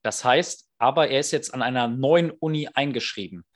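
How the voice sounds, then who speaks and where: A man's voice: mid-range voice, normal speed. Explaining man on a podcast. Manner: explanatory, with many fillers. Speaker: podcast co-host, tournament organizer. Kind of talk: casual podcast chat.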